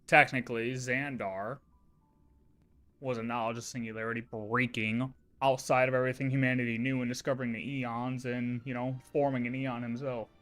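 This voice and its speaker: A man's voice: mid-range voice, flat delivery, normal speed, fairly bright tone, soft background music. A man livestreaming with casual, off-the-cuff commentary, emphatic and repeating himself to make his point. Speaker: livestreamer reacting to a video.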